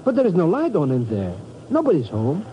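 An actor playing a money-grubbing, complaining comic sidekick in a 1950s radio drama, stammering and wheedling. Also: male voice, on the high side, huge pitch swings, a little fast. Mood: whiny. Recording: muffled, very noisy old radio broadcast.

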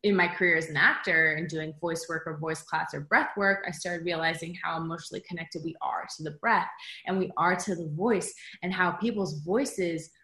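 A young woman with a low, deep voice, talking earnestly and thoughtfully as a guest. Her voice carries a noticeable roomy echo around it. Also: steady tone, normal speed, bright, forceful tone. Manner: reflective and earnest. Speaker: podcast guest, actor. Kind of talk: casual chat.

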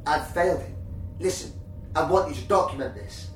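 A woman's voice: deep voice, moderate pace, distant mic, natural voice. A woman playing desperate, frantic young Jack. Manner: frantic, shouting orders. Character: desperate, self-harming young man Jack. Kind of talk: audio drama acting. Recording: echoey, from off mic.

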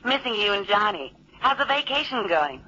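Bright, affectionate colleague. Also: female voice, medium pitch, big pitch swings, normal speed, lo-fi sound, a little hiss or hum. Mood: fond. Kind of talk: 1970s radio drama acting.

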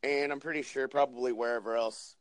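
A hoarse young man co-hosting a wrestling podcast, joking, swearing and rambling through plugs. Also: medium pitch, steady tone, a little fast, dry recording. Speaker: podcast co-host. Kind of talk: casual chat.

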